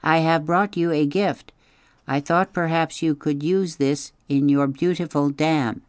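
A reader voicing a polite female robot who has adopted a gosling, speaking in a measured, formal way without contractions. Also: medium pitch, fairly even intonation, clear, resonant tone, no room sound, clean studio sound, pitched above the performer's own voice, close to an everyday voice.

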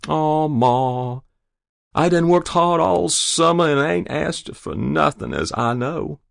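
Storybook farm boy, teens, played by a man: rural Southern drawl, raised pitch, earnest, insistent, pleading. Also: lively intonation, normal speed, a little mellow, no room sound, clean studio sound. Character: eager, pleading teenage farm boy longing for a gun.

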